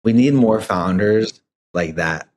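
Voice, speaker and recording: A man's voice: on the low side, slow, a little mellow, a little hiss or hum. A man, talking casually as the host of a podcast interview.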